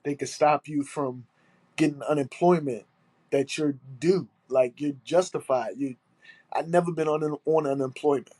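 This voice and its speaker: A man's voice: on the high side, normal speed, mellow, dry recording. A man with a stuffy nose, hosting a solo podcast and talking in an aggrieved, emphatic way, repeating his points and swearing. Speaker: podcast host.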